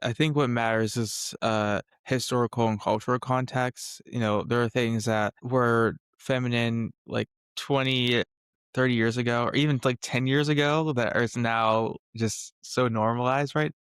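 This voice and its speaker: A young man speaks at a middle pitch with a smooth, clean, soft voice that some would call a therapist voice. He talks in a thoughtful, measured way with frequent fillers. The sound is dry and close. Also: fairly even intonation, no echo. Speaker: podcast co-host. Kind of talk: casual discussion.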